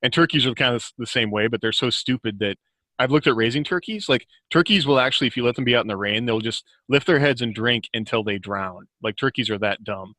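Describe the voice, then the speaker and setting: An American man with a bright, forward voice talks casually as a podcast guest. The sound is close and dry, and he tells anecdotes in an informal, chuckling way. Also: medium pitch, quick pace, no room sound. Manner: informal, chuckles often while talking.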